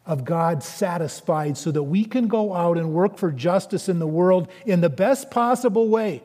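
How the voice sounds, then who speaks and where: A man preaching a sermon as a pastor, animated and earnest, with chatty asides to the congregation. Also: high voice, in a large hall.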